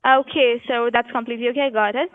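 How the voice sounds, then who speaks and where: Woman caller, thin telephone sound, slightly high voice, polite, patient, hesitant questioning. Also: steady tone, quick pace, no room sound. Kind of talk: scripted phone sales questioning. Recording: tinny narrowband phone line.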